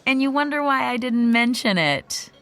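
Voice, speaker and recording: A woman's voice: on the high side, expressive, normal speed, fairly bright tone. An actress playing an earnest, superstitious theatre woman who explains magic lore in a conversational radio drama style. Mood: teasing.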